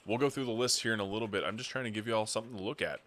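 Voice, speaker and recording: Male stream co-host, lowish voice with a bright edge, relaxed and chatty. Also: rapid-fire. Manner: relaxed, explains giveaway details with fillers. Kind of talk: casual livestream chat.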